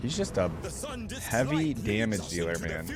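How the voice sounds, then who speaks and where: A man's voice: lowish voice, big pitch swings, measured pace, loud music behind the voice. A man giving casual reaction commentary as host, talking in an informal, slangy way and often exclaiming or musing aloud.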